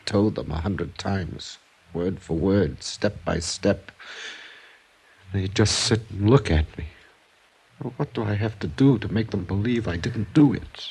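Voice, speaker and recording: An American actor playing a weary, bitter, soft-mannered ex-con drifter near sixty in a 1950s radio crime drama. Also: male voice, fairly low pitch, a little slow, gravelly, breathy edge, exaggerated voice, poor audio. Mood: bitter, pleading.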